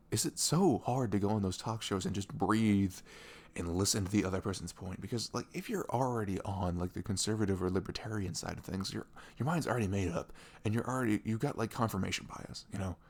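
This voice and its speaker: Man acting as low-voiced, gravelly, chatty young boyfriend. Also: a little fast. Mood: animated, exasperated. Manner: animated rambling, slangy, acts out quotes. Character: sweet, caring, chatty boyfriend on a morning after. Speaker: audio roleplay voice actor. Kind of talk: casual intimate ASMR boyfriend roleplay, rambling chat.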